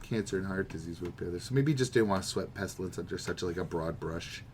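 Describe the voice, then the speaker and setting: Low-voiced man chatting on a podcast. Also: fast talker, darkish tone, a little hiss or hum. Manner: opinionated, musing, swears freely. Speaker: podcast co-host. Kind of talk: casual chat about manga.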